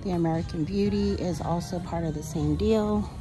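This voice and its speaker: A woman with a somewhat low voice chats with her viewers as she walks a supermarket aisle on her phone camera. Her manner is homey and practical, with a "y'all" here and there. Store music plays clearly in the background. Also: moderate pace, poor audio. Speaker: grocery haul vlogger. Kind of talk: casual shopping walkthrough. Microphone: handheld phone camera.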